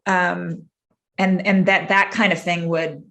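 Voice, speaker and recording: A woman from Massachusetts, a clinician, speaking as an interview guest in a reflective, earnest and measured way. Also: on the low side, little pitch movement, gravelly, a bit bright. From Massachusetts, USA. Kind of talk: personal storytelling in an interview.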